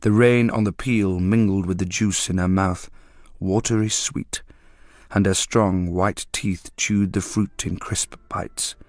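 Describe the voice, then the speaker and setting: A man reading a story aloud in a measured, descriptive narrating style. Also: sits low, steady tone, no echo, clean studio sound.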